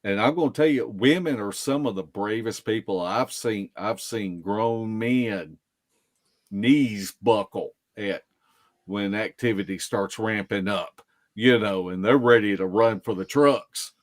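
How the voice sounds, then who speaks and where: Slow-talking man with a drawl. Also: on the low side. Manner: folksy, unhurried, repeats and circles back. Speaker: podcast guest, field investigator. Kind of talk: casual storytelling.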